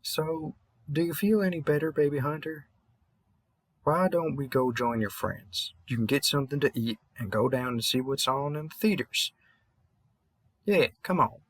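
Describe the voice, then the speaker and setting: A man's voice: on the high side, moderate pace, no echo, close to an everyday voice. Man acting out a weary, rambling Southern vampire hunter. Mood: reassuring. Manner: rambling, mumbling, folksy. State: tired. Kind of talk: intimate audio roleplay monologue.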